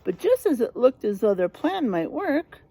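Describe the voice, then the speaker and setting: A woman reading a picture book aloud like a teacher, expressive and dramatic, pausing to put questions to young listeners. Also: fairly high pitch, slightly rough, no room sound. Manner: animated, dramatic delivery with drawn-out words.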